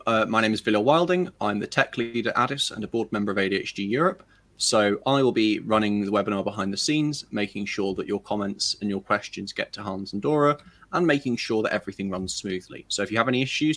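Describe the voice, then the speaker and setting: A man who is the webinar's tech lead, introducing himself in a brisk, practical way over a video call. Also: fairly low pitch, expressive, fairly bright tone. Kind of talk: brief self-introduction.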